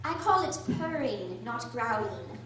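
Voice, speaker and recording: A woman playing a polite, curious and earnest little girl with a prim, expressive delivery in a storybook radio drama. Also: fairly high pitch, measured pace, a little hiss or hum. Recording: very echoey room, distant mic.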